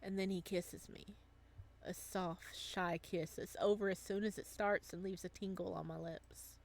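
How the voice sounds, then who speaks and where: Woman reading as a soft, wistful man in love. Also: on the low side, steady tone, a little slow, vocal fry. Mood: tender. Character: wistful adult man reunited with lost boyfriend, gentle narrator. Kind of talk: visual novel story reading.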